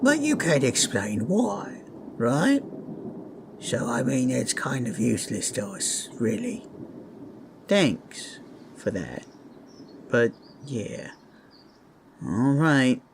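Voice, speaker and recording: A man's voice: medium pitch, big pitch swings, slow, vocal fry, long pauses, exaggerated voice. A man playing an anxious, grieving raccoon father in a fantasy roleplay, speaking in a hesitant, emotional way. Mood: uneasy. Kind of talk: dramatic roleplay audio acting. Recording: faint storm noise behind. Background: thunder and storm ambience.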